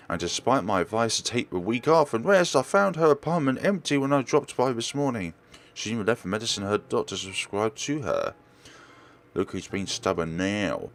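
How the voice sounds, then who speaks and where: A man's voice: fairly low pitch, vocal fry. A man doing a let's play, reading a visual novel aloud and stumbling over words before correcting himself. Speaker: let's player.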